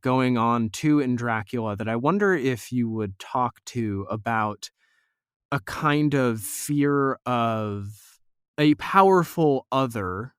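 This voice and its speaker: A man with a medium-pitched voice hosts a thoughtful interview. He is articulate and reflective, choosing fairly formal words. The recording is dry, with very little room sound. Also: smooth, dry recording. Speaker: podcast host, interviewer.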